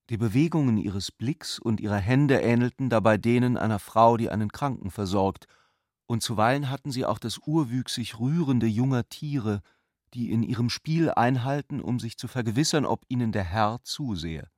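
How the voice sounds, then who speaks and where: A man's voice: medium pitch, no room sound, studio quality. A man narrating literary prose for a radio drama.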